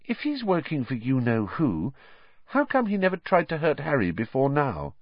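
A man's voice: mid-range voice, huge pitch swings, normal speed, no room sound, highs cut off, voice pushed up. A man reading an audiobook story, voicing a timid, earnest teenage schoolgirl who speaks hesitantly and inquisitively. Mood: hesitant, questioning.

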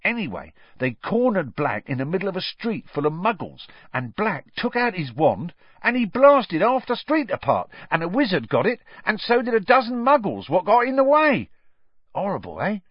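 Excitable, high-pitched cockney lad. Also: male voice, moderate pace, breathy edge, no room sound, lo-fi sound, full character voice. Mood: dramatic, gossipy. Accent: London working-class. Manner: gossipy, dramatic, colloquial, tag questions. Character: chatty, excitable young cockney male bus conductor.

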